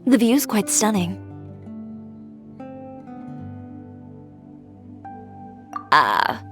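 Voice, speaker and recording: A woman's voice: medium pitch, sing-song, measured pace, fairly bright tone, faint music behind. A woman voicing an assertive, independent young fashion designer in an anime game, speaking in a candid, wry, self-assured way. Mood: guarded.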